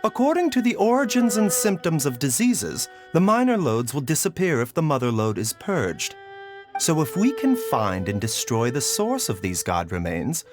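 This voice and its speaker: A man voicing a calm, courteous physician who speaks in a measured, explanatory way, in video game dialogue. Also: on the high side.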